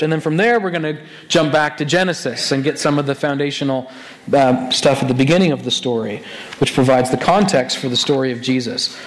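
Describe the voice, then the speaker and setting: Male pastor, raspy and hoarse, fairly high voice, chatty sermon delivery full of restarts. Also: poor audio. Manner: conversational, animated with many restarts.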